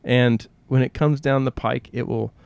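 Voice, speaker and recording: A man's voice: medium pitch, steady tone, normal speed, creaky, darkish tone, smooth, dry recording. A man co-hosting a podcast, speaking in an earnest, reflective conversational style and often voicing questions and quotes. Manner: earnest, poses rhetorical questions, acts out quotes. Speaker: podcast co-host.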